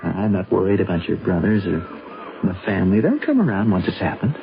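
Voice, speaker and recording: A man's voice: low voice, sing-song, a little fast, gravelly, full character voice. An actor playing an earnest, defiant young man in love, urgent and insistent, in a seventies radio drama. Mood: reassuring, determined. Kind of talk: 1970s radio drama acting. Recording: dull vintage radio recording.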